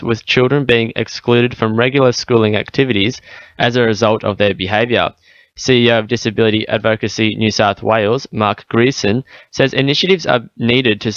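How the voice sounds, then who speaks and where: Low-voiced Australian male newsreader. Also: fairly bright tone, no echo, highs cut off. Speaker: radio news reader. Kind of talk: scripted news bulletin.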